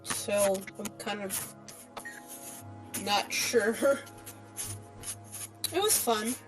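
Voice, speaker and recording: A woman's voice: mid-range voice, animated, drawn-out words, over music, low-quality recording. A woman chatting casually over a voice call, talking in a hesitant, rambling way. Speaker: friend chatting with the player over voice chat. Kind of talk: casual chat. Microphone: voice chat call.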